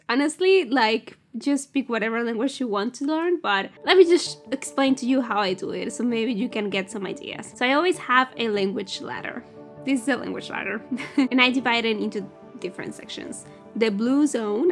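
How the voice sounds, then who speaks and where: Casting: woman, bright higher-pitched voice, candid vlogger, chatty with casual fillers. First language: Spanish. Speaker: language-learning vlogger. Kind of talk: casual explanatory vlog.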